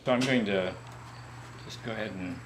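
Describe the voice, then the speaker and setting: A man, an instrument maker, explaining his craft haltingly with many ums and uhs. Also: on the low side, heavy background noise. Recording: loud music under the voice.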